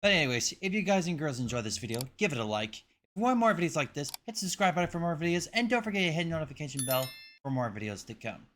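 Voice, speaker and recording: A man's voice: fairly high pitch, big pitch swings, rapid-fire, few pauses, a bit bright, a little hiss or hum. A man hosting a reaction video, talking casually and musing informally about what he watched.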